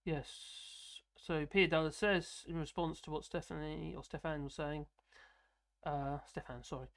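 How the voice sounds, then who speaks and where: A man from the UK with a fairly high voice, hosting a live stream and rambling casually through viewer comments with frequent chuckles. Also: fairly even intonation, a little slow, vocal fry. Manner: rambling, self-deprecating, chuckling often.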